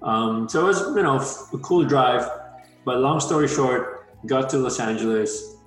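A man in his thirties from Malaysia, a guest in an interview, talking casually and relaxed with many "um" and "you know" fillers. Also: mid-range voice, moderate pace, echoey room, soft background music, poor audio.

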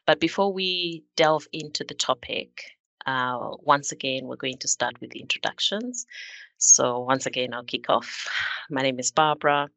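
Woman podcast host, from Zimbabwe, gravelly edge, bright forceful tone, measured with fillers. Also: very deep voice, no room sound. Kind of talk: hosting a panel discussion.

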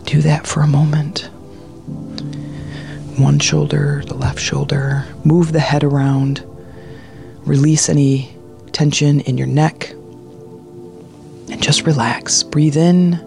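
A meditation guide speaking in a soothing, hushed manner, leading a guided relaxation. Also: mid-range voice, level tone, takes it slowly, vocal fry, pauses often, music in the background. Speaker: meditation guide, podcast host.

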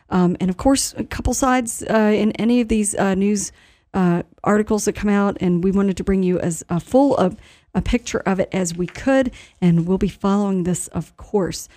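Mellow woman hosting local radio news. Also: mid-range voice, no echo. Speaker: news host.

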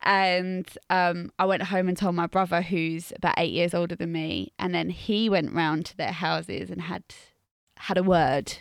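A woman's voice: lowish voice, a bit bright, no echo, clean studio sound. A woman in her thirties from Wales, co-hosting a podcast in a chatty, self-mocking storytelling way and laughing often. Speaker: podcast co-host, teacher. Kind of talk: casual chat.

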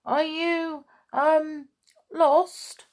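A woman's voice: medium pitch, expressive, slow pace, breathy edge, pitched above the performer's own voice, heavily acted. A woman voicing a timid, cautious male mouse in a children's storybook, hesitant and stammering. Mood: nervous. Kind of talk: children's storybook read aloud.

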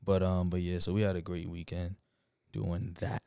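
A man's voice: deep voice, flat delivery, moderate pace, creaky, darkish tone, clean tone, no room sound, studio quality. A man in his twenties co-hosting a podcast in laid-back, slangy casual chat, laughing often. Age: 20s. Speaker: podcast co-host.

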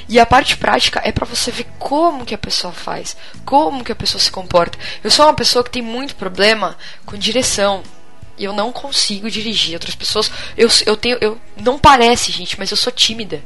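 A Brazilian woman co-hosting a podcast, chatting casually in a blunt, expressive and colloquial way. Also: mid-range voice, moderate pace, faint music behind. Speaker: podcast co-host. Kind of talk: casual group chat.

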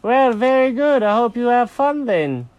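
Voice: medium pitch, very animated, measured pace, darkish tone, clear, resonant tone, lo-fi sound, voice pushed up. A storyteller voicing a fussy, sensitive male cat with a prim, fretful and polite way of talking. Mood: offended.

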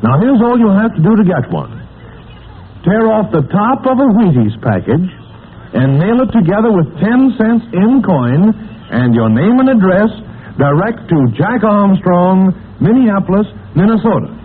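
A man announcing and narrating a 1940s radio adventure serial in an enthusiastic, emphatic pitchman style, heard on a tinny, noisy old radio recording.

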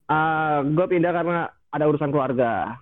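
A man's voice: on the high side, moderate pace. A young man from Bandung, a podcast guest talking casually and enthusiastically about his student years. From Bandung, Indonesia. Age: young adult. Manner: relaxed, enthusiastic, laughs at himself. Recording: thin phone-like sound.

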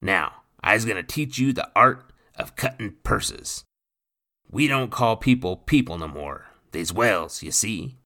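A man voicing a roguish, cocky male thief who talks in a gravelly, swaggering, ungrammatical like dialect. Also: fairly low pitch, lively intonation, a little slow, bright, forceful tone, pitched above the performer's own voice, heavily acted.

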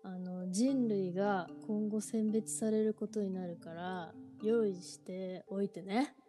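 A woman in her thirties with a medium-pitched voice chats informally among friends. She co-hosts a relaxed talk podcast, with soft music behind her. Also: slow. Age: 30s. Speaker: podcast co-host. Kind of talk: casual chat among friends.

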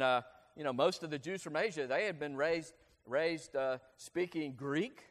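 High-voiced preacher in an echoing hall. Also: male voice. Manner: earnest, explanatory, frequent "uh" fillers. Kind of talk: expository sermon. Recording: long hall reverb.

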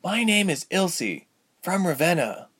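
Man voicing a high, earnest young adventurer woman. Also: sing-song, a little slow, a bit bright, breathy edge, heavily acted. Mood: grateful, hesitant. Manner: urgent, questioning, polite. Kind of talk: storybook character voice.

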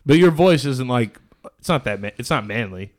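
A man's voice: mid-range voice, lively intonation, moderate pace. A young man hosting a casual podcast chat, laid-back and teasing, laughing easily with his friends.

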